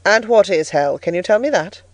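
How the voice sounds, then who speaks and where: Woman acting a solemn, slow, stern clergyman. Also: lively intonation, no echo, natural voice. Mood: stern, menacing. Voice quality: bass. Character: solemn, stern, harsh elderly clergyman interrogating a child.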